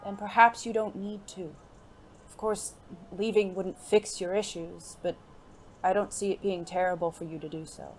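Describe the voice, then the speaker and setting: A performer playing a gentle, refined gentleman companion in an audio drama, speaking formally, earnestly and in a measured way. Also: mid-range voice, fairly even intonation, lightly acted. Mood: tender, earnest. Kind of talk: intimate audio drama dialogue. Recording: faint noisy background ambience.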